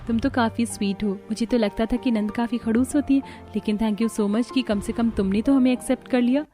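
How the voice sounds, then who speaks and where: A woman's voice: fairly high pitch, steady tone, brisk, warm tone, soft background music, natural voice. A woman voicing a sweet, trusting young newlywed bride in a cartoon, polite and eager to please. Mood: relieved, grateful.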